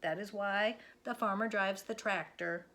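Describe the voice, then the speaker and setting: A woman voicing a sensible but excitable female cow who reasons and protests in a children's storybook. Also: mid-range voice, measured pace, noisy background, close to an everyday voice. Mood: doubtful, patient. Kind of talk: children's storybook read-aloud.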